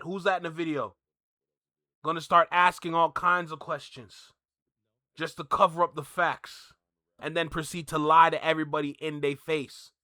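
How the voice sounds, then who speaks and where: A man speaks in a high, forceful voice, recorded dry and close. He gives unscripted reaction commentary as a host, hammering points home by repeating himself. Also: dry recording. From Canada. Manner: emphatic, repeats phrases for emphasis.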